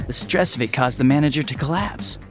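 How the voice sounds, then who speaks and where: A man's voice: medium pitch, fairly quick, lightly acted. Chatty, bumbling young detective voiced by a man, music behind. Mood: gossipy, confiding. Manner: gossipy, loose-lipped, apologetic, informal. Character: chatty, bumbling young male police detective, eager and indiscreet. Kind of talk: English video game dub voice acting. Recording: background music under the voice.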